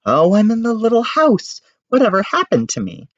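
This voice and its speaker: A man voicing a kindly, gently stern old witch woman in a storybook, questioning and instructing. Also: high voice, wildly swooping pitch, normal speed, no echo, muffled recording, voice pushed up, full character voice. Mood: bewildered.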